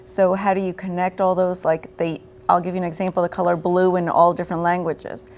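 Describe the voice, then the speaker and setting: A woman with a slightly low voice, explaining her program to an interviewer. She speaks clearly and works through concrete examples. A faint hiss runs under the recording. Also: flat delivery, a little fast, darkish tone. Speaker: program coordinator guest. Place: local TV studio.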